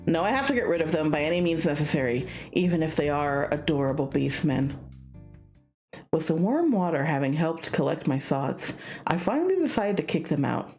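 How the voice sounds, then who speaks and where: A woman streamer with a playful, animated manner, reading a visual novel aloud with casual asides. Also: deep voice, moderate pace, soft background music.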